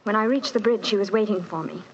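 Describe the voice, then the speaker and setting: An actress playing an earnest, distressed young governess in a dramatic 1940s radio style. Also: female voice, mid-range voice, close to an everyday voice. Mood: bitter, distressed. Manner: earnest, dramatic, halting under emotion. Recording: muffled, noisy 1940s radio recording.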